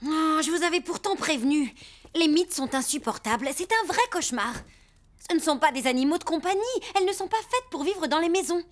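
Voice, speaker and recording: A woman's voice: sits high, animated, fairly quick, dry recording. A woman in a French cartoon dub voicing a grumpy, sarcastic, know-it-all female animal. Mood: exasperated. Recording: background music over the dialogue.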